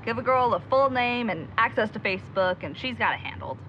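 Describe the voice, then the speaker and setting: A woman's voice: on the high side, expressive, brisk, lightly acted. A woman playing a headstrong, exasperated young amateur sleuth in an audio drama, with slight noise on a muffled recording. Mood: exasperated, determined. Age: young adult. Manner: defiant, exasperated.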